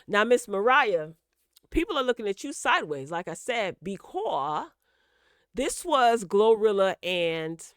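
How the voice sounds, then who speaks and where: Clear-voiced woman giving opinionated commentary. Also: medium pitch, very animated, measured pace, a bit bright, dry recording, studio quality. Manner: emphatic, direct address to listeners with repetition for emphasis. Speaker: host of a celebrity gossip commentary channel.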